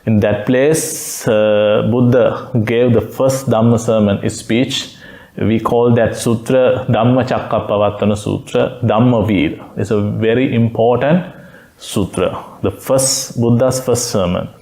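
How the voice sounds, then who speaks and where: A Buddhist monk from Sri Lanka giving a Dhamma talk, speaking in simple phrases and pausing often with "uh" between words. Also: male voice, fairly low pitch, steady tone, dark tone. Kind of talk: slow, halting Dhamma talk with many fillers. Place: meditation center room.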